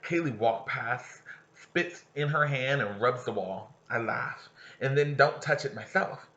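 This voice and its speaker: A man's voice: medium pitch, measured pace, bright, forceful tone, lo-fi sound. A man who teaches, reading a novel aloud to his students and stopping to explain passages and ask them questions.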